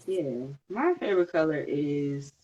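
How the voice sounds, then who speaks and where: Podcast host, easygoing and agreeable; mid-pitched voice, slightly dull recording. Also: animated, unhurried, dark tone, husky. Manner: easygoing, agreeable, laughs often. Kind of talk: casual interview questions.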